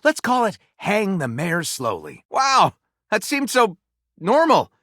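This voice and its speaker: An actor playing a whimsical, mock-literary storyteller in a video game, narrating grandly with comic commentary. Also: male voice, high voice, wildly swooping pitch, takes it slowly, a bit bright, dry recording, studio quality, exaggerated voice. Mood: enthusiastic.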